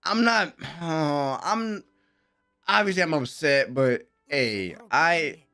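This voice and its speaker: A young American man giving excited, slangy reaction commentary at home on a close microphone, with an exclamatory manner. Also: fairly high pitch, extremely slow, faint music behind. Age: young adult. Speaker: reaction video host. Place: small room at home. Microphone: close desk microphone with headphones.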